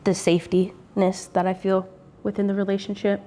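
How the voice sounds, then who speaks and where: A woman's voice: medium pitch, completely flat delivery, moderate pace, mellow, slight background noise. A woman in her twenties chatting with her partner on their vlog, upbeat and earnest. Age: 20s. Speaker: co-host of couple channel. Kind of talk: casual chat.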